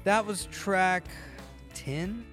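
A man's voice: high-pitched, very slow. Rambling male podcast host. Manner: rambling, searching for words. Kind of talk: casual chat about music. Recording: dry, music playing behind.

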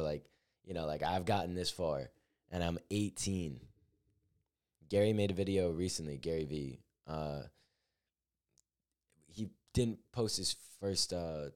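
Very deep-voiced young man, earnest. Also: a little mellow. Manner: earnest, upbeat, rambling with fillers. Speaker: podcast host. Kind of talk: solo podcast monologue.

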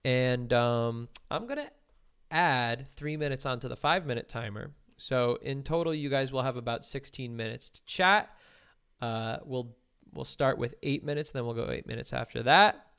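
A man's voice: medium pitch, normal speed, gravelly, a bit bright, clean tone. A young man coaching a timed conversation exercise, giving instructions and feedback. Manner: instructive, gives directions and feedback. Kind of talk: guiding a timed practice chat.

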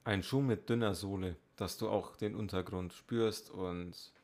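A man with a medium-pitched voice, chatting casually as a podcast co-host in a dry, matter-of-fact way. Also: steady tone, unhurried, darkish tone. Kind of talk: casual banter and chat.

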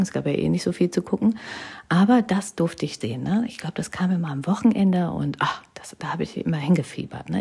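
Chatty woman with a gravelly, husky voice. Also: on the low side, warm tone. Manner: chatty, keeps adding "ne?", drops to whispers. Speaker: vlogger. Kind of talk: casual personal storytelling.

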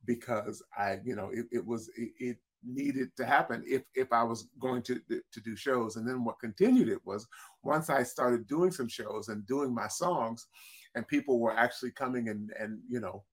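A man with a deep voice, a singer-songwriter, telling stories in a relaxed interview and often restarting his words. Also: normal speed, slightly rough. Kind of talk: casual interview storytelling.

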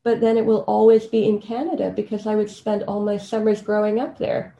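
A woman's voice: medium pitch, flat delivery, a little fast, dark tone. A woman from Kenya speaking conversationally as a podcast guest, telling personal stories. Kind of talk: conversational personal storytelling interview.

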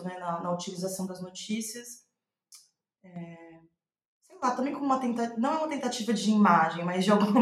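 A woman with a Brazilian Portuguese accent speaking reflectively in an interview, thinking aloud and restating her phrases as she goes. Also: mid-range voice. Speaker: playwright, podcast guest. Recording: roomy, echoey sound.

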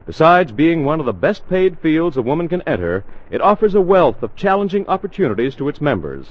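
Lively, higher-voiced 1940s American radio announcer, male. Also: a little fast. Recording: dull, lo-fi 1940s radio broadcast.

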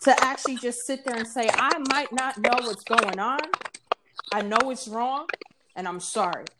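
A woman's voice: on the high side, normal speed, very noisy. A woman in a casual group discussion, speaking earnestly and supportively.

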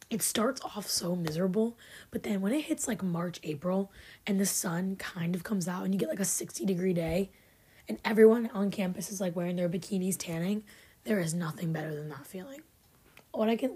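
A young woman in her twenties hosting a podcast, chatty and a bit sarcastic, her voice raspy from having just woken up. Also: mid-range voice, a little fast, warm tone. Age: 20s. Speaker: podcast host. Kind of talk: casual chat. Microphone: filmed podcast setup.

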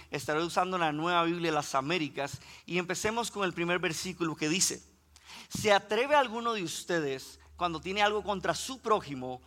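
High, bright Costa Rican preacher. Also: male voice. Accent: Costa Rican Spanish. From Costa Rica. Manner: builds in intensity when preaching, reads Scripture aloud. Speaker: guest preacher. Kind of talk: sermon.

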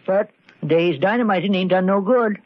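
Actor as a high-voiced folksy country fellow. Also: male voice, moderate pace, no room sound, lightly acted. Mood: puzzled, dogged. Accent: rural American. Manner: folksy, drawling, doggedly puzzled. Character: stubborn, slow-thinking, doubting rustic American hired man. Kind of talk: old-time radio acting. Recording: dull, lo-fi vintage radio recording.

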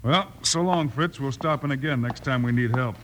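An actor playing a calm, plain-spoken heroic lawman in an old radio western, easygoing but firm. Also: medium pitch, moderate pace, lightly acted. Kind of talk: 1950s radio western acting. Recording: noisy old radio recording.

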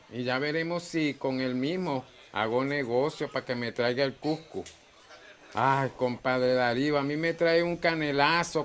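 A man's voice: a little high, fairly bright tone. A man playing a jovial, bossy Venezuelan bar owner in a humorous radio soap, with a folksy, exclamatory way of talking.

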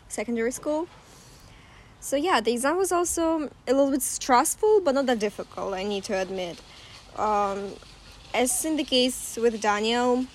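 Slightly high woman whose first language is Russian. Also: slight background noise, rough recording. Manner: measured, with "mm" pauses.

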